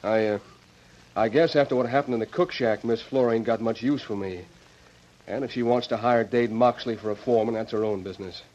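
A man's voice: medium pitch, a little fast, a little mellow, lightly acted. Radio Western cowhand, male actor: medium voice, earnest, folksy slang, muffled old recording. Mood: rueful, earnest. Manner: folksy cowboy drawl and slang. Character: earnest, loyal cowboy, a plainspoken ranch hand. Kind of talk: old-time radio drama acting.

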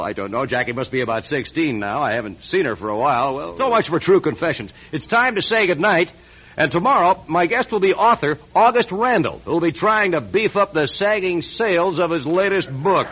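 An actor playing a vain, needling American TV host in a radio drama, with glib, showy patter and stammering asides. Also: male voice, fairly high pitch, bright, forceful tone. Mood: confessional, showy. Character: glib, needling, vain male TV talk-show star. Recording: dull, lightly noisy radio recording.

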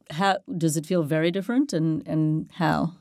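An engaged interviewer with a gravelly, mellow voice asks careful follow-up questions and keeps murmuring agreement. The sound is dry and close, with no room echo. The pitch sits in the middle of the range. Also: steady tone, measured pace. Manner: engaged, frequent affirming backchannels. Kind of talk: conversational interview.

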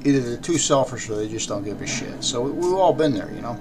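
An American man with a raspy voice hosting a podcast, talking off the cuff in a halting, rambling way. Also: mid-range voice, expressive. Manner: halting, throat-clearing, rambling. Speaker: podcast host. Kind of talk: unscripted podcast talk. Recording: clearly noisy background.

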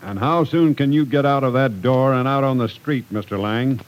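An actor playing a stern, unforgiving middle-aged businessman and father in an old radio drama, gruff, sarcastic and emphatic. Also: mid-range voice, normal speed, natural voice, low-quality recording. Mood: cold, stern.

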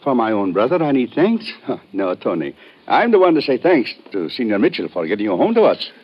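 An actor playing an effusive, protective middle-aged Portuguese uncle in an old radio drama, with formal, foreign phrasing. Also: male voice, medium pitch, huge pitch swings, a little fast, husky. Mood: warmly effusive. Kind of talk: 1950s radio drama acting. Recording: muffled old radio recording.

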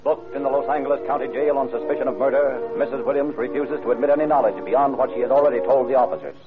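A man's voice: medium pitch, fairly even intonation, fast talker. A man reading dramatic scripted narration in the style of old-time radio. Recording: narrow-band old radio recording over music. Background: music.

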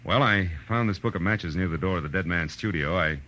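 An actor playing a tough, wry private detective with an American accent and a clipped, assertive manner in a 1940s radio drama. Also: male voice, low-pitched, a little fast, bright, forceful tone, lo-fi sound. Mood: firm, pressing. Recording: old radio recording with music.

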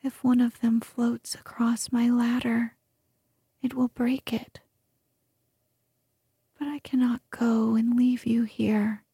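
A woman voicing a gentle, sorrowful female moonbeam spirit in a soft, pleading whisper. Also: a little high, flat delivery, slow pace, long pauses, husky, no room sound, studio quality, exaggerated voice. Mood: anxious. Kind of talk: whispered ASMR storytelling.

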